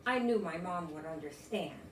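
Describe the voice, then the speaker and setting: An older woman from the United States giving an expressive read-aloud of a children's story. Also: on the low side, normal speed. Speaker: storybook reader. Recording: roomy, distant mic, faint noise.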